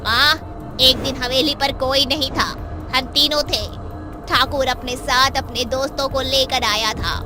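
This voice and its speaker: A woman's voice: high voice, fairly even intonation, unhurried. A woman doing a mournful witch ghost. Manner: hushed, mournful telling, sinking to a whisper. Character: vengeful young witch ghost daughter, grieving and wronged. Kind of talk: animated horror story voice acting. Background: music.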